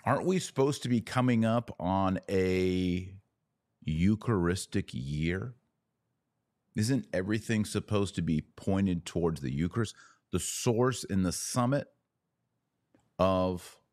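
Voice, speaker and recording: A man with a low-pitched voice delivers opinionated religious commentary. He takes his time, setting phrases apart and leaning on key words. The sound is close and dry. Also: animated, creaky, a little mellow, no room sound. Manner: emphatic, deliberate phrasing with pauses and stressed key words. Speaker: podcast host, religious commentator.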